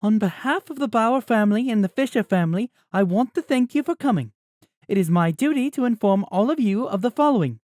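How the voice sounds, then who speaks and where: A man voicing a formal, composed mother in an audiobook, speaking in an even, official manner. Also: medium pitch, fairly quick, no echo, studio quality, voice pushed up, natural voice. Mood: formal, grave. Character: formal, composed mother addressing wronged neighbors. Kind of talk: audiobook character voice.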